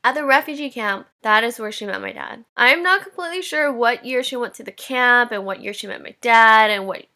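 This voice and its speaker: A woman's voice: fairly high pitch, a little fast, hardly any pauses, bright, forceful tone. A woman in her twenties hosting a personal podcast, telling her own story in a candid, reflective way. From Indonesia. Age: 20s. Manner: candid and reflective, with light laughs. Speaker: podcast host.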